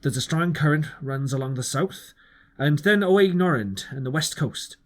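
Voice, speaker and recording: Man acting old pirate, audiobook character, sly, falsely jolly, folksy sailor speech, raised pitch. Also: expressive, normal speed, natural voice. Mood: deferential. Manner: folksy, rustic sailor's turns of phrase. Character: wily, falsely jolly old one-legged pirate ship's cook.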